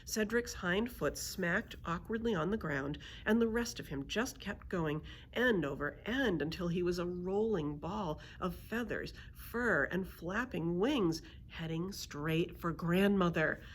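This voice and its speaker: A woman's voice: lowish voice, lively intonation, unhurried, hardly any pauses, a little hiss or hum. A woman narrating a children's book aloud as a librarian storyteller.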